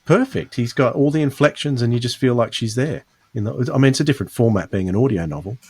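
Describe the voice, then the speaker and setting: A man's voice: medium pitch, fast, a little mellow, slightly rough. A man hosting a podcast in a casual, conversational style, restarting phrases as he thinks aloud. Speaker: podcast host. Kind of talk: casual chat.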